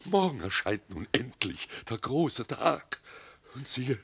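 An actor playing a pompous, easily panicked small-town mayor in a comic radio play, blustering and declamatory. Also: male voice, medium pitch, very animated, slow pace, gravelly, fairly bright tone, breathy edge, no echo, heavily acted. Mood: indignant, aggrieved.

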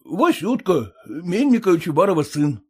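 A man's voice: sits high, very animated, a little slow, darkish tone, slightly rough, voice pushed up, heavily acted. A man voicing a cheerful village schoolteacher who teases his old friend. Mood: teasing. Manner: teasing, talkative.

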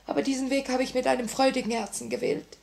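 A young woman revolutionary with a fairly high voice and a marked creak in it. She speaks with solemn, theatrical passion, torn between grief and resolve, in the manner of 1950s German radio drama. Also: flat delivery, fast, a little mellow. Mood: weary, determined. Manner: theatrical, solemn, impassioned delivery.

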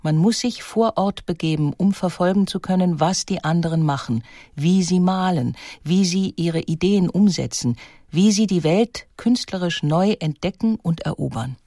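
A woman's voice: on the low side, normal speed, mellow, no room sound, studio quality. A woman reading scripted documentary narration in an articulate, composed manner.

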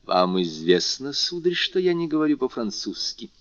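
A man's voice: medium pitch, sing-song, moderate pace, a bit bright, no echo, dull audio. A man voicing a phlegmatic, eccentric English lord in an audiobook, with a clipped, formal, aloof manner. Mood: cool, aloof.

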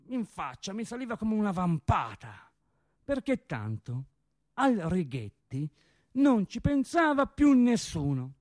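High-voiced, rambling Tuscan factory worker. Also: male voice. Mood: simmering anger. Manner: digressive, self-correcting, repeating phrases for emphasis. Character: embittered, rambling factory worker recounting workplace life. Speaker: actor in a stage monologue for radio theatre. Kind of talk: theatrical monologue, colloquial storytelling.

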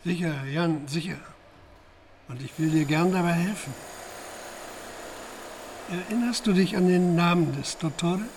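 A man's voice: on the high side, unhurried, long pauses, darkish tone, a little hiss or hum. An actor playing a concerned man taking testimony in a radio crime drama, asking short, careful questions. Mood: concerned, probing.

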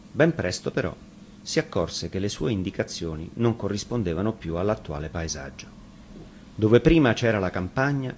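A man's voice: on the low side, a little mellow, a little hiss or hum. A man reading a fairy tale aloud as a storyteller, in scripted narration.

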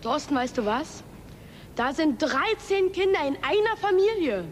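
An actress in a radio play playing a bold, eager youngster with a breezy, direct manner. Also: female voice, sits high, big pitch swings, a little slow, slight background noise. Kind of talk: German radio play acting.

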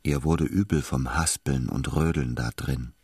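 A man's voice: rumbling low, unhurried, darkish tone, slightly rough, dry recording, heavily acted. A man delivering scripted literary narration as the narrator of a radio drama. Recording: studio voice over music.

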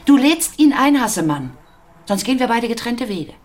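A woman's voice: fairly high pitch, sing-song, normal speed, darkish tone. Brash, bossy mother, swooping intonation. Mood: challenging, bossy. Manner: blunt, earthy, commanding. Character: brash, bossy, eccentric working-class mother of a teenage boy. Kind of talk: German radio play acting.

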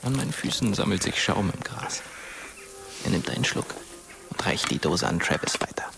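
A gravelly, hushed dead teenage boy. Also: sits low, animated, moderate pace, noisy background, exaggerated voice. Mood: wistful, observant. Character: dead teenage boy narrating as a ghost, wry, wistful. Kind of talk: German radio drama narration. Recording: music under the voice.

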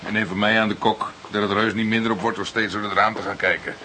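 Younger cop sidekick in radio drama, crisp bright tone, slight background noise. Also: male voice, on the low side, flat delivery, fast talker. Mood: matter-of-fact. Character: younger male detective, practical loyal right-hand sidekick.

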